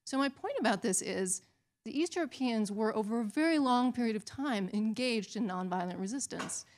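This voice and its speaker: A woman's voice: fairly high pitch, moderate pace, darkish tone. A woman speaking from the audience at a public talk as an academic specialist, politely and articulately laying out a question.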